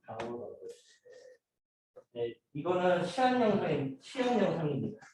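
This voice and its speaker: Higher-voiced man presenting in an echoey room. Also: very animated, moderate pace, a little mellow. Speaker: student presenter. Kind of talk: team project presentation, explaining slides and a demo video. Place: indoor room, voice picked up from a distance. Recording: very echoey room, distant mic, noisy.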